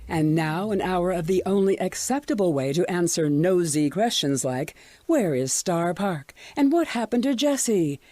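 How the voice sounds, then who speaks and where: A woman's voice: lowish voice, animated, moderate pace, hardly any pauses, warm tone, no echo, natural voice. A woman playing a composed radio sponsor announcer, reading scripted station messages with tongue-in-cheek corporate polish.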